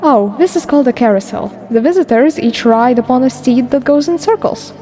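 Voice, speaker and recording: A woman acts a spirited adventurer heroine in a fantasy game dub. She has a fairly high voice and describes things vividly, like a keen storyteller, over music. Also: lively intonation, a little fast, warm tone. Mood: explaining. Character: lively human fixer woman, a keen storyteller. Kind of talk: dramatic game fandub acting.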